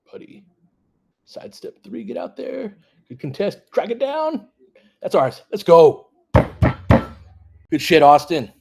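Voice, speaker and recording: A man's voice: high voice, big pitch swings, slow, creaky, pauses often, darkish tone, husky. A man hosting a basketball live stream, mixing casual play-by-play with conversational, opinionated, sweary fan commentary. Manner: partisan fan reacting to plays and chat. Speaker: former high school basketball coach.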